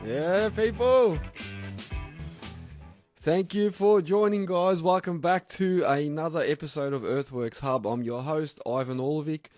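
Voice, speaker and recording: A man with an Australian accent hosting a live podcast, his voice croaky and hoarse from illness, chatting in a rambling way and thanking listeners by name. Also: a little high, unhurried, a little mellow, faint music behind. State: hoarse, sick voice. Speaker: podcast host.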